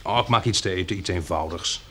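An actor playing a practical, wry survivor of a catastrophe in a radio drama, matter-of-fact and dryly ironic. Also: male voice, deep voice, a little fast, noisy background, natural voice. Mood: light, dry.

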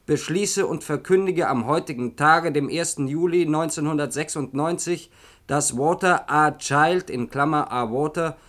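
An actor playing a self-important official in a German radio play, with a formal, declamatory delivery. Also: male voice, a little high, moderate pace, a bit bright, close to an everyday voice. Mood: solemn, official.

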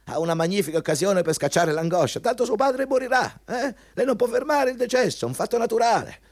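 Radio play: ruthless male corporate interviewer, patronizing and hectoring, voice on the high side, very dry sound. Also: sing-song, a little fast, no echo. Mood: exasperated, insistent. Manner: hectoring, condescending, insistent. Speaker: actor in a radio drama. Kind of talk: Italian radio play acting, dialogue.

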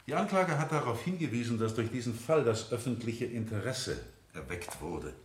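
An actor playing an insistent, probing defence lawyer in a courtroom radio drama, speaking in formal, rhetorical phrases. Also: male voice, mid-range voice, lively intonation, normal speed, lightly acted. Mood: measured, probing. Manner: formal, rhetorical, pointed questioning. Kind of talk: 1970 German courtroom radio drama acting. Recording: echoey courtroom-like room, 1970 radio production.

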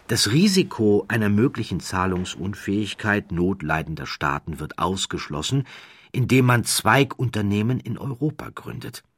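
A man narrating a scripted documentary story in a measured, suspenseful, dramatic manner. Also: deep voice, fairly bright tone, slightly rough, dry recording.

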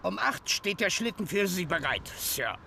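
A man's voice: high voice, sing-song, moderate pace, vocal fry, bright, forceful tone, a little hiss or hum, full character voice. A man playing a confident, plain-spoken sled pilot in an audio drama. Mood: businesslike.

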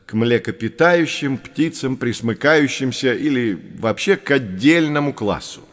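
A man's voice: medium pitch, lively intonation, no echo, studio quality, lightly acted. A man playing a knowledgeable, patient nature guide who explains things in a children's educational audio drama. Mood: informative. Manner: measured, didactic.

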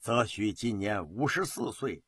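A man's voice: on the low side, lively intonation, a little slow, fairly bright tone, slightly rough, dry recording, pitched below the performer's own voice, full character voice. A man voicing a dignified, resolute imperial commissioner in his fifties for a historical story, formal and declamatory. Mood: courteous, solemn.

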